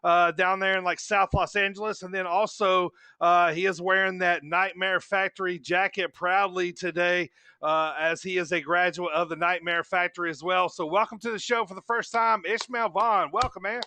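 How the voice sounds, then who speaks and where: A man's voice: high voice, level tone, moderate pace, sharp tone, no echo. A man hosting a wrestling podcast in a loose, rambling way, padding his talk with "man" and "you know". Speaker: podcast host. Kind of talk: casual wrestling podcast chat.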